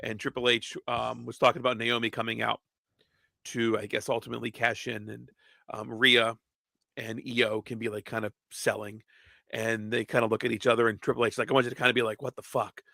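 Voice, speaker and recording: A man with a bright, forward voice, co-hosting a loose podcast chat. He rambles through stories and swears freely. The sound is dry and close. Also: mid-range voice, little pitch movement, fairly quick, vocal fry, dry recording. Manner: profane, rambling storyteller. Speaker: podcast co-host. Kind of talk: casual wrestling podcast chat.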